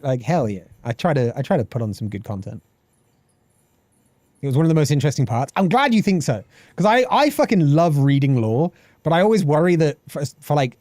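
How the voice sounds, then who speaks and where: Animated British man streaming. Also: medium pitch, no echo. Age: 30s. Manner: animated, swearing, reads chat aloud and laughs often. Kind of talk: casual live-stream chat with game lore reading.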